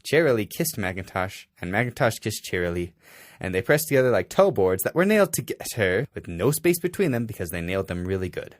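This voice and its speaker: A man's voice: fairly low pitch, big pitch swings, fairly quick, no echo, studio quality. A man reading a story aloud in an exaggerated, mock-serious dramatic way. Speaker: amateur fanfiction reader.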